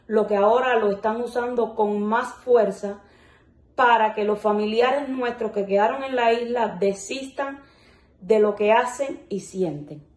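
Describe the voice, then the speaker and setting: A woman's voice: a little high, a little slow, a little mellow, reverberant. A woman in her twenties from Cuba, speaking firmly and earnestly in an on-camera interview indoors. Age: 20s. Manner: firm, earnest. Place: bright indoor room.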